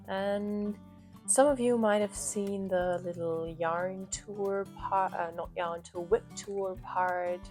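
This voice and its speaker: Lady chatting about her knitting, slow and soft, a bit apologetic, low-ish voice. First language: German. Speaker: knitting podcast host. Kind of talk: casual chat to camera.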